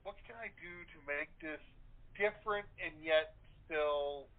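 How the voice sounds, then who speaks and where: A man's voice: on the high side, a little slow. A man, a playwright guest, telling a story casually in an interview. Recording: thin phone-like line.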